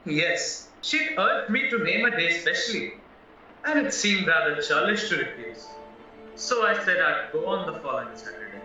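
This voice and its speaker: A naive, polite young husband acted by a man in an audio play, chatty and earnest as he explains himself. His voice is bright, sharp and lively, heard in a heavily echoing space with a distant mic. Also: fairly high pitch, moderate pace, heavily acted. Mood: candid, chatty. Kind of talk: stage-style audio play acting. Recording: very echoey, distant, muffled, music behind.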